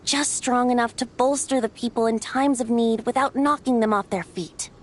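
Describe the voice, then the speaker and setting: A woman voicing a boyish wind god bard in a video game, speaking in a lyrical, theatrical, gently teasing storyteller's manner, playful yet wise. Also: on the high side, steady tone, normal speed, natural voice. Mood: earnest, measured. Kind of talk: video game character dialogue.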